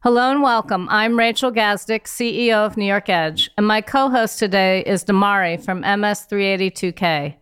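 A woman's voice: mid-range voice, normal speed, few pauses, a bit bright, clean tone, no echo. A woman hosting a podcast, giving a polished scripted introduction and handing off to her co-host. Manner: polished, announcer-like delivery.

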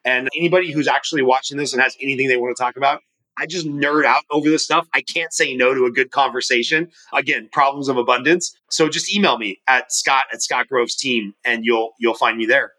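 A man in his forties, a guest on a podcast, talking fast and energetically, rambling with restarts. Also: mid-range voice, bright, forceful tone, rough recording. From California. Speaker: podcast guest, mortgage professional and coach. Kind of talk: casual interview talk.

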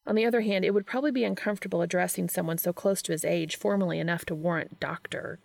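A woman narrates a story in audiobook style. Her pitch sits a little low and carries some gravelly fry. The sound is dry, with very little room in it. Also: fast, darkish tone, no echo. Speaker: fan fiction reader.

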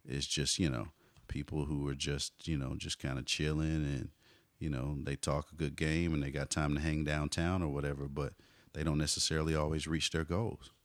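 A man in his forties, a hip hop artist telling stories in a relaxed interview, dropping "you know" often. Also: very deep voice, moderate pace, no room sound. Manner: laid-back storyteller, frequent "you know". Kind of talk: casual conversational interview.

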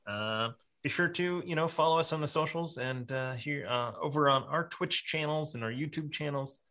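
A man signing off a live stream, thanking the event and pointing viewers to the channels in a friendly, halting way with many pauses. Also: fairly high pitch, sharp tone. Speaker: streamer, show host. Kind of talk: stream sign-off.

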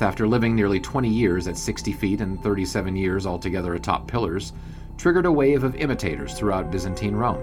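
An actor playing a wry 1920s sportswriter, narrating an audio drama in a wordy, grandiose storytelling manner. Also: male voice, on the low side.

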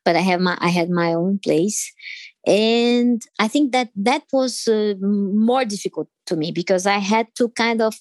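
A woman from Brazil with a Brazilian Portuguese accent, answering interview questions in English with many pauses, fillers and restarts. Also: medium pitch, no room sound. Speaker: interview guest.

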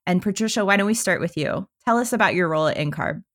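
A woman's voice: fairly low pitch, lively intonation, quick pace, fairly bright tone. A woman co-hosting a podcast, welcoming guests and asking questions in an upbeat, curious way. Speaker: podcast co-host.

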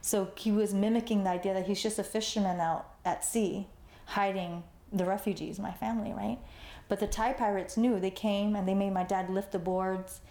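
A woman's voice: medium pitch, fairly even intonation, moderate pace, warm tone, roomy sound. A woman in her forties, born in Vietnam, telling her life story in a conversational interview as an artist guest, tagging her sentences with "you know" and "right?". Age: 40s.

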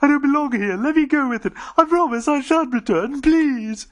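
Squeaky panicky alien prisoner, begging hard, voice way up high and thin. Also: male voice, normal speed, fairly bright tone. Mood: panicked. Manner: desperate, begging.

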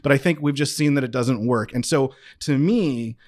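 A man's voice: medium pitch, normal speed, a little mellow. A man speaking as an interview guest, arguing with conviction in a conversational way, emphatic and often interrupting himself to rephrase. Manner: earnest, emphatic, self-interrupting with repeated phrases. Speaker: interview guest, comedy and audio writer. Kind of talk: conversational interview, impassioned argument.

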